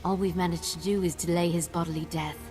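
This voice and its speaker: Casting: woman as calm aristocratic female negotiator for a game, lowish voice, formal and measured, over music. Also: close to an everyday voice. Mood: calm, grave. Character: composed, diplomatic noblewoman official negotiating calmly. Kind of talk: video game voice acting.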